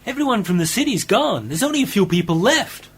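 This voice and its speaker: Very high, husky-voiced helper played by a man. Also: big pitch swings, brisk, heavily acted. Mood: eager, concerned. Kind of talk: science fiction radio play acting.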